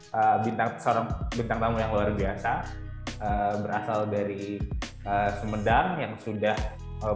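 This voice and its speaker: A man's voice: on the low side, reverberant. A young man hosting a podcast over a video call, joking and teasing his guest in a casual chat. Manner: joking, teasing, laughs often. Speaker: podcast host.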